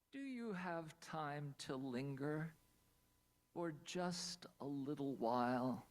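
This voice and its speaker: Slow, gravelly-voiced storyteller man. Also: mid-range voice. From Pennsylvania, USA. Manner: measured, lingering on single words. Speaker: storyteller presenting a talk. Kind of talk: presentation with playful asides. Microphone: video call.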